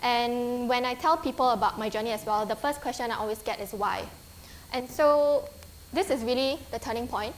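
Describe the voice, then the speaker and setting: A woman's voice: on the high side, normal speed, slight background noise, rough recording. A woman in her twenties with a Singaporean English accent, giving a friendly, earnest conference talk with frequent ums and restarts. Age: 20s. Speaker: guest speaker. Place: lecture hall.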